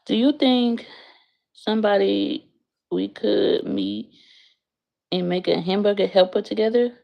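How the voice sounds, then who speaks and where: Young woman streamer, casual and blunt, with a gravelly edge. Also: mid-range voice, measured pace, muffled recording. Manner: blunt, frank, swears freely. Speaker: livestreamer answering chat. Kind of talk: casual livestream chat. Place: her bedroom, in bed.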